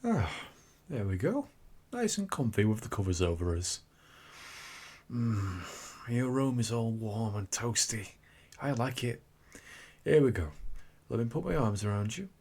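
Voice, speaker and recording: A man's voice: medium pitch, sing-song, a little slow, long pauses, a little mellow, slightly rough, heavily acted. A man playing a suave, teasing incubus demon in a scripted ASMR roleplay, with theatrical delivery. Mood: tender, soothing. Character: seductive, cocky male incubus demon turning flustered and tender.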